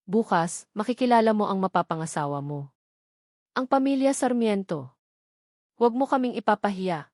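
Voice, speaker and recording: A woman's voice: medium pitch, animated, moderate pace, long pauses, a little mellow, no room sound, lightly acted. A woman narrator plays a wealthy Filipina stepmother in a storybook romance drama. She is stern and reproachful, issuing cold commands in a mid-range voice with dry, close sound. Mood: cold, commanding.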